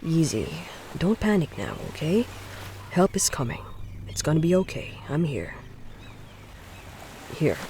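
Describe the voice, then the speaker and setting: A man acting a caring young boyfriend in an audio roleplay, soothing his girlfriend in a gentle, often hushed and breathy way. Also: fairly high pitch, wildly swooping pitch, a little slow, pauses often, a little hiss or hum, exaggerated voice. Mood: reassuring.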